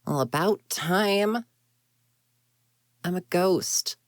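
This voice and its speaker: A woman's voice: fairly low pitch, expressive, very slow, no room sound. A woman playing an exasperated ghost girl in an audio roleplay, huffy and indignant, often muttering to herself. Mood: indignant scolding. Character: grumpy, exasperated tsundere ghost girl haunting a house.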